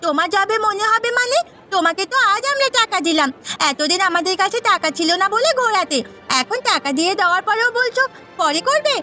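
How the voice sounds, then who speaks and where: Casting: woman to voice eager cartoon village sister, piercingly high-pitched, earnest, pleading, light music behind. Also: lively intonation, fairly quick, pushed and bright, full character voice. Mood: indignant. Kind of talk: Bengali cartoon voice acting.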